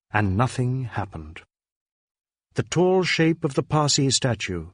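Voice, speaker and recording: A man's voice: medium pitch, dry recording. Man narrating a detective story, very dry sound. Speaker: audiobook narrator.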